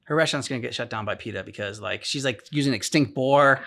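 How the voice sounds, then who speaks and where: A man's voice: mid-range voice, quick pace, gravelly, sharp tone. A man co-hosting a reaction show in a casual, joking, rambling way.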